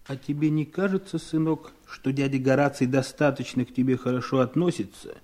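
A man's voice: medium pitch, steady tone, fairly quick, a little mellow, natural voice. An actor playing a scheming, coaxing middle-aged father in a 1970s Soviet radio drama. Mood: sly, persuasive. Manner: insinuating, coaxing.

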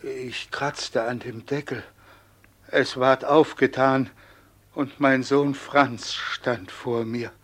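Radio play: frail old nobleman, low husky voice, lamenting at a slow, solemn pace. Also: fairly bright tone. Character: wretched, starved old nobleman father, imprisoned in a tower. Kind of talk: classic German radio drama acting.

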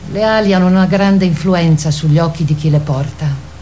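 A woman performing as a measured, matter-of-fact storyteller in literary radio theatre. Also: on the low side, dark tone, slight background noise, lightly acted. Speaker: narrator.

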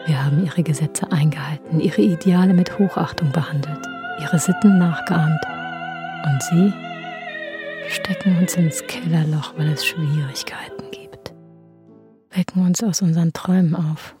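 A wronged, reproachful woman in a radio play. Her voice is on the low side and moves widely in pitch. She laments plaintively, with music clearly behind her. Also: very animated, a little slow. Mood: bitter reproach. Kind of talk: radio drama monologue.

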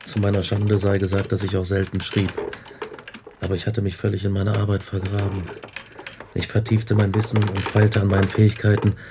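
Man acting a gravelly, low-voiced remorseful scientist. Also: monotone, brisk, noisy background, natural voice. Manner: subdued, confessional. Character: remorseful male scientist recounting his obsessive past. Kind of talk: radio drama narration.

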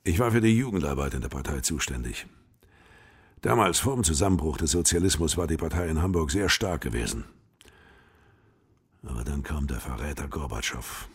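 Very deep, husky old party man. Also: expressive, moderate pace, full character voice. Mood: nostalgic, bitter. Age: older. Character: massive ailing old communist party man, bitter and dogmatic. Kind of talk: radio drama dialogue.

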